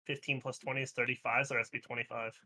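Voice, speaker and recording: Bright, hesitant man. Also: medium pitch, level tone, rapid-fire. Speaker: co-reader on a game livestream. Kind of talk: reading character lines and working puzzles aloud.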